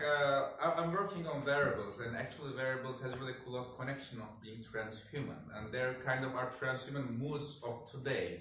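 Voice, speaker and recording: A man's voice: mid-range voice, fairly bright tone. A man giving an academic talk as a researcher, speaking hesitantly and often repeating words. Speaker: researcher presenting at a symposium. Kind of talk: academic conference talk, partly improvised. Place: indoor symposium room. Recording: very echoey room, distant mic, faint noise.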